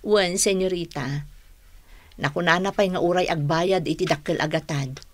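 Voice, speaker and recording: Woman as a low-pitched, devoted housekeeper. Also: moderate pace, no room sound, close to an everyday voice. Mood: deferential. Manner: deferential, familiar. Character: devoted, chatty female housekeeper to a young lady. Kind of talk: radio drama acting in Ilocano.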